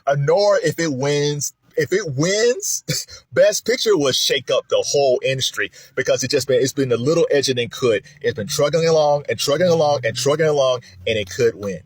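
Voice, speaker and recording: A man casually sharing his film awards predictions, with an animated manner, laughing often and swinging from excited highs to low mutters. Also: normal speed, husky, no room sound. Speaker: film commentator. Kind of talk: casual spoken commentary.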